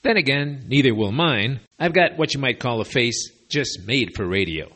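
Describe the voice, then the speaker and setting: A man with a slight lisp delivers sardonic, rambling commentary in a lively, swooping voice that rises and falls through every sentence. He speaks as a podcast host recording on borrowed gear away from his usual studio, and he sounds casual and opinionated as he wanders off on tangents. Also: mid-range voice, moderate pace, husky. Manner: sardonic, digressive, conversational. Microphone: makeshift borrowed equipment.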